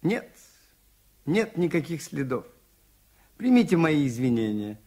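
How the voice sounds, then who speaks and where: An actor playing a shrewd, calm priest detective in a 1980s Soviet radio drama, measured and politely insistent. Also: male voice, fairly high pitch. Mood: polite, formal.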